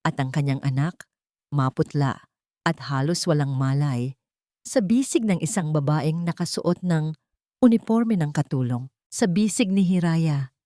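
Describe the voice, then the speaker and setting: Woman with a low voice narrating a drama. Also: animated, warm tone, no room sound, clean studio sound. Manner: measured, grave storytelling.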